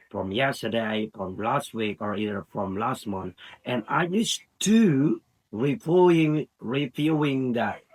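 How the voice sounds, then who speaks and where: A man with an Indonesian accent speaking English as a non-native language, giving informal advice in a halting way and repeating words and phrases. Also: medium pitch, slightly rough, low-quality recording. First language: Indonesian, Sundanese and Javanese. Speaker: language learner giving study tips.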